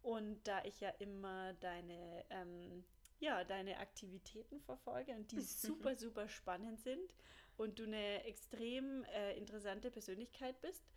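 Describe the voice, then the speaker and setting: A woman's voice: mid-range voice, measured pace. A young woman from Bavaria hosting a podcast interview, gushing and chatty, with many fillers. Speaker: podcast host.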